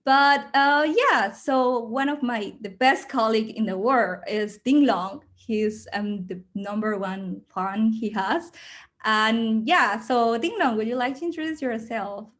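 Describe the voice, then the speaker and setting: A woman's voice: fairly high pitch, measured pace, a bit bright. A woman from Mexico with a strong Mexican accent, hosting an onboarding video call in an upbeat, chatty way with many fillers. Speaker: program host. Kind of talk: casual video call presentation.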